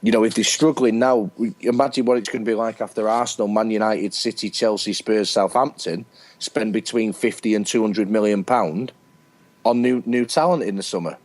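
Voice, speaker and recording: A British man co-hosting a casual football chat, animated as he argues his points and acts out what players might be thinking. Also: on the low side, moderate pace. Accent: British English. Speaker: co-host.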